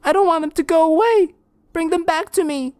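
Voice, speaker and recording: A man's voice: very high voice, fairly quick, a little mellow, no room sound, studio quality, pitched above the performer's own voice. A man voicing a crowd of ghostly dead children, screaming shrilly and desperately.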